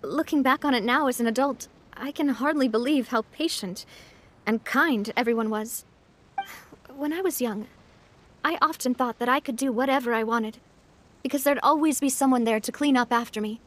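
A woman voicing a cheerful, sincere young woman leader in a video game, speaking in a gentle, earnest, measured way. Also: high-pitched, lightly acted. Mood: fond, reflective. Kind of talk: animated video game voice acting. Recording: soft music behind the voice. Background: soft game music.

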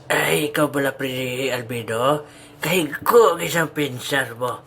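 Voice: medium pitch, slow, a bit bright, a little hiss or hum. A performer playing a cheeky, easily startled old grandfather with a Filipino accent, stammering through a comic audio drama. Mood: explaining, indignant.

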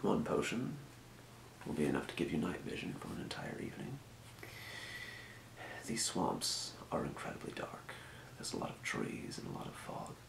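A man playing a calm fantasy potion shopkeeper in a breathy, measured, courteous whisper for an ASMR role play. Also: rumbling low, roomy sound, background noise, heavily acted. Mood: calm, helpful. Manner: measured, murmuring, courteous merchant patter. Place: small indoor room.

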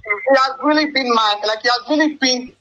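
A young woman in an emotional personal phone conversation, speaking haltingly and often repeating her words. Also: sits high, noisy background. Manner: halting, repetitive phrasing. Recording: band-limited leaked phone call with music. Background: music.

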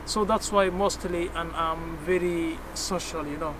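A man's voice: on the high side, steady tone, measured pace. A young man from Kenya, chatting as a guest outdoors in a self-assured, talkative way with many fillers. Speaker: interview guest. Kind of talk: casual outdoor conversation. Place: outdoors by a roadside. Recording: street noise in an outdoor video clip.

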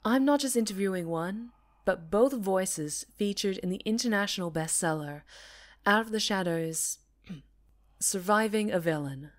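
A woman playing a composed TV talk-show host in an audio roleplay, speaking in a measured, polished, formal way. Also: mid-range voice, close to an everyday voice. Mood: poised, presenting. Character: poised female TV talk-show host, composed under threat.

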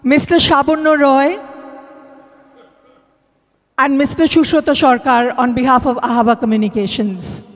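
A middle-aged Indian woman hosts a formal event on a handheld microphone. Her voice is high and she addresses the audience emphatically. Long reverb from the large ballroom surrounds every phrase. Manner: emphatic, announcing to a crowd. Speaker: event host. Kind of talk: formal vote of thanks at a live event. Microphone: handheld microphone through PA.

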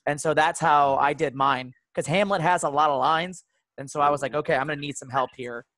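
A man's voice: on the high side, fairly even intonation, fairly quick, bright, forceful tone, no echo. A man taking part in a video call workshop, chatting casually about how he did things. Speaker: workshop participant, actor. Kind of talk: casual chat.

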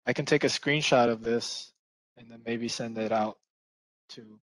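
Soft-spoken young man on a headset. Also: medium pitch, steady tone, a little slow, no room sound, poor audio. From Philippines. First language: Tagalog. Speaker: support engineer guest. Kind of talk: casual video call chat.

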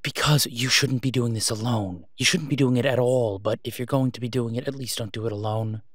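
A man's voice: medium pitch, steady tone, dry recording, natural voice. Exasperated vigilante acted by a man, close and dry. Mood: worried, pleading. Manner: urgent, pleading, emphatic with pauses. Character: exasperated, protective masked male vigilante on patrol. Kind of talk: one-sided phone-call audio roleplay.

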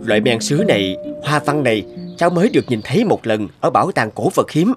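A man playing a keen, friendly young photographer in an animated story, speaking politely and enthusiastically. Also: mid-range voice, fast, lightly acted. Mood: amazed. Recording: guitar music under the voice.

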